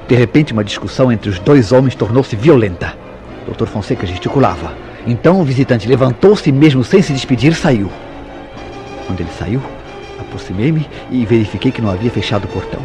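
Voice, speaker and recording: A man acting a jealous, tormented lover in a radio soap opera, with theatrical formal diction and dramatic pauses. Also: medium pitch, lightly acted. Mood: bitter, intense. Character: Mauro, jealous, tormented lover confessing a crime.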